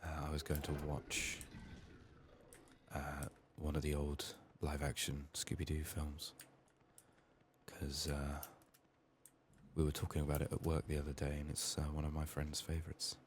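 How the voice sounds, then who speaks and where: A soft-spoken man murmuring through an ASMR game playthrough, often dropping into whispers, his voice a little strained from being sick. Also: extremely low pitch, completely flat delivery, a little slow, long pauses. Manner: murmured thinking aloud. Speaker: ASMR gameplay streamer. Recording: faint noise, keyboard typing and clicks. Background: keyboard typing, clicking, faint noise.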